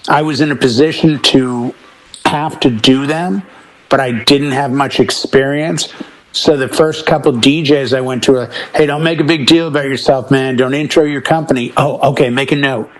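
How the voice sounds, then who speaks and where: A man's voice: mid-range voice, steady tone, moderate pace, poor audio. Man chatting casually in a mid-range voice. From Boston area, United States. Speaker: wedding DJ, panel member. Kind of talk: casual panel chat about music.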